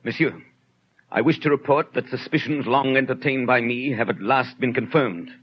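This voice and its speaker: An actor playing a stern, duty-bound police inspector in a 1930s radio drama, speaking formally and insistently. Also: male voice, medium pitch, moderate pace, breathy edge, natural voice. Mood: coldly formal. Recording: dull 1930s radio recording.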